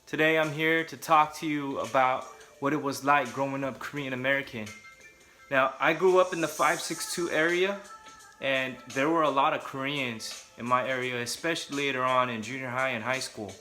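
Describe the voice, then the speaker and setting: A man's voice: mid-range voice, moderate pace, sharp tone, soft background music. A young adult man from California, talking casually and candidly to camera with joking asides. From Southern California. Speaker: vlogger.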